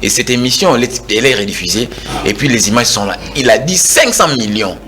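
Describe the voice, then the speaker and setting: A man's voice: medium pitch, big pitch swings, quick pace, bright, forceful tone, a little hiss or hum. A man in his forties with a Congolese French accent, arguing heatedly as a guest in a televised political debate, repeating words as he presses his point. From Kinshasa, DR Congo.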